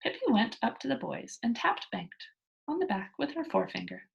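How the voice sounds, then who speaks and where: A woman reading a children's storybook aloud as an expressive narrator. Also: fairly high pitch, moderate pace, gravelly, husky, muffled recording. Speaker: storybook reader.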